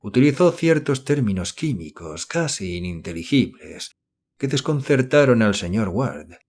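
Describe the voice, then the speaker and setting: A man's voice: fairly low pitch, a little mellow. A man reading a horror novel aloud as an audiobook narrator, in scripted literary narration.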